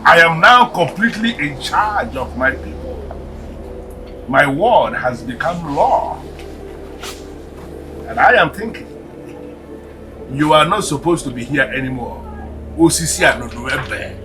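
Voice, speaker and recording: A man with a Nigerian accent playing a proud, ruthless village king in a melodramatic film, speaking in declamatory, deliberate phrases. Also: fairly high pitch, expressive, rough recording. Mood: gloating, commanding. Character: proud, ruthless African village king, vengeful, later anguished. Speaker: film actor. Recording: background music under dialogue.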